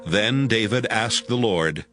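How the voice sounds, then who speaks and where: A man narrating dramatized scripture from a script, in a clearly phrased storytelling manner. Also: lowish voice, takes it slowly. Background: music.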